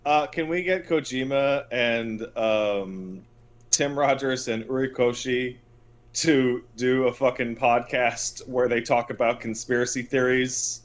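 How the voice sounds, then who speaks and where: A man's voice: mid-range voice, slow. A man co-hosting a casual gaming podcast, telling things in a blunt, profane, emphatic way. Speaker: podcast co-host. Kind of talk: casual podcast chat about video games.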